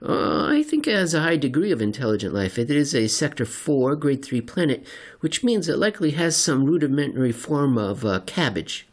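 A man's voice: mid-range voice, very animated, normal speed, pitched above the performer's own voice. Man putting on a spaced-out, laid-back spaceship crewmate. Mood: spacey, unbothered. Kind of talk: comic science fiction storytelling.

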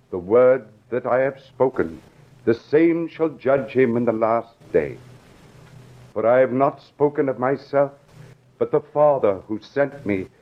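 A solemn prophet in a vintage radio drama. He declaims scripture slowly, with measured weight. The sound is dull and old. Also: medium pitch.

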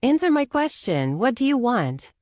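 A woman playing a firm, guarded woman who refuses to be bullied, curt and measured in a dramatic reading. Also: a little high, very animated, a little mellow, no room sound, clean studio sound. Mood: insistent.

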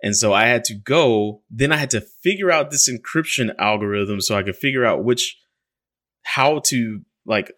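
A man's voice: medium pitch, moderate pace, a bit bright. A man hosting a podcast, chatting loosely and rambling, dropping a casual swear now and then. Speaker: podcast host. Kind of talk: casual chat.

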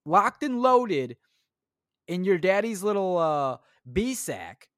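A man's voice: high-pitched, big pitch swings, slow, fairly bright tone, no room sound, studio quality. A young man hosting his own podcast, rambling crudely and mocking himself, swearing often. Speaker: podcast host. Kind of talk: solo comedic podcast rant.

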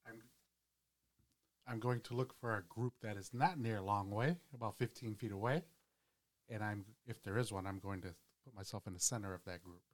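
A man's voice: on the low side, moderate pace. A man playing a tabletop fantasy game, casually stating spells and rules for his character. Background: background music.

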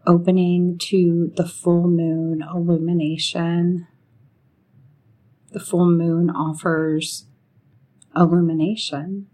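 Woman, meditation guide, mellow soft-edged tone, even low-key melody, soothing, long pauses. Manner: soothing, unhurried, with long pauses between short phrases.

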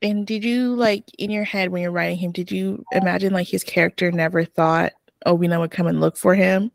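Chatty, animated woman podcast host. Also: fairly low pitch, brisk, creaky, dry recording, clean studio sound. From Canada. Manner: animated, chatty, laughs often. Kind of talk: casual interview chat.

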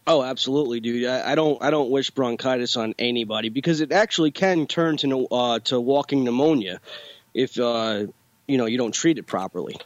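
Congested, rambling co-host. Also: male voice, mid-range voice, brisk, no echo, dull audio. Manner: rambling, filler-heavy, laid-back. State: congested with bronchitis. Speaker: podcast co-host. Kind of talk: casual chat.

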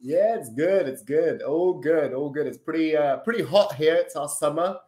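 A man's voice: on the high side, moderate pace, dark tone. A Brazilian-born man, a podcast guest chatting casually with lots of ums and tag questions. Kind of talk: casual interview chat. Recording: roomy echo.

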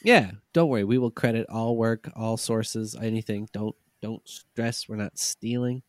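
A man's voice: on the low side, measured pace. A young man co-hosting a casual podcast, a little congested from a cold, chatting with dry joking asides. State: slightly congested. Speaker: podcast co-host. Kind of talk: casual chat.

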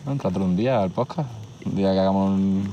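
A man's voice: sits low, fast talker, warm tone, highs cut off, a little hiss or hum. A man hosting a podcast, chatting informally and casually with his guest outdoors. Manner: informal, friendly, says "tío" a lot. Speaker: podcast host interviewer. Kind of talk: casual interview chat. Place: outdoor hilltop viewpoint at sunset. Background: outdoor ambience.

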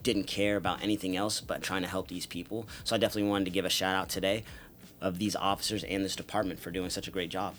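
Low laid-back male podcaster. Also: flat delivery, fast, fairly bright tone, a little hiss or hum. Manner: laid-back, lots of ums and laughs. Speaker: podcast co-host. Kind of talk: casual podcast chat.

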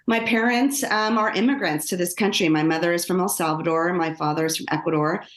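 Middle-aged American woman, low voice, roomy echo. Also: a little fast. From Los Angeles, United States. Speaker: podcast guest, lawyer and author. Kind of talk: conversational personal storytelling in an interview. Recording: roomy, echoing sound.

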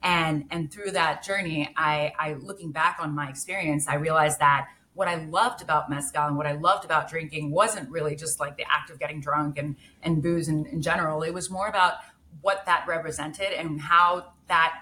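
A woman's voice: low-pitched, steady tone, normal speed, sharp tone, a little hiss or hum. A woman from Mexico, a brand founder, speaking as a guest in an interview, earnest and reflective with frequent restarts. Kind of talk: casual interview chat.

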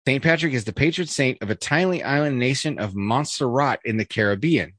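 A man's voice: medium pitch, expressive, a little fast, fairly bright tone, no room sound, studio quality. A man co-hosting a casual podcast chat, reading a list aloud with jokey asides, fillers and restarts. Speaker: podcast co-host.

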